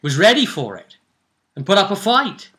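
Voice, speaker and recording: A man's voice: sits high, big pitch swings, normal speed, fairly bright tone. A man acting as game master, casually recapping the story of a tabletop role-playing game.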